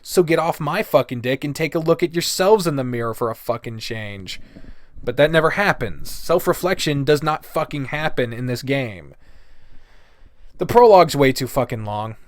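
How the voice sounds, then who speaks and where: A man's voice: medium pitch, animated, normal speed, dry recording. A man delivering a casual, blunt, exasperated rant full of swearing, as a reviewer giving his opinions. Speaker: reviewer of visual novels.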